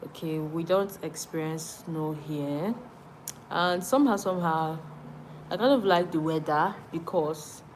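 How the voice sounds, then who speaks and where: A Nigerian woman with a Nigerian accent talks casually to her live-stream viewers in a somewhat low voice. She reads their comments aloud and answers them, repeating phrases as she thinks. A faint hum sits behind her. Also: darkish tone, rough recording.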